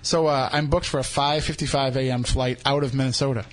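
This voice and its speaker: A man's voice: medium pitch, fairly even intonation, brisk, a crowd in the background. A man with a Boston accent hosting a radio talk show, bantering casually and poking fun at himself. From New England. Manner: joking, self-deprecating.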